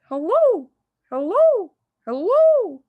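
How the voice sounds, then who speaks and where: High, loud talking parrot. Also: female voice, sing-song, phone-quality sound, full character voice. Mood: loud, shrill. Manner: repeats phrases, drawn-out spooky croak. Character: loud talking pet parrot, shrill and spooky.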